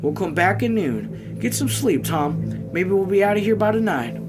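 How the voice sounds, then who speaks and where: A man's voice: high-pitched, animated, normal speed, loud music behind the voice, voice pushed up. A man reading a novel aloud as an anxious, weary rural mother who pleads earnestly in folksy dialect. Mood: worried, caring.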